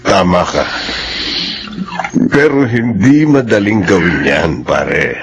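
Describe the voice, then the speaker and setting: An actor with a Filipino accent playing a frank, sympathetic middle-aged friend in a radio drama. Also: male voice, low voice, animated, slow, heavily acted. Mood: doubtful.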